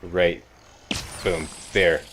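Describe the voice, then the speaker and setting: A man with a deep voice hosts a game walkthrough. He talks casually, with folksy, wisecracking asides, over faint background noise and game ambience. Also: flat delivery, drawn-out words, a bit bright. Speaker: video game walkthrough host. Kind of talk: casual gameplay commentary.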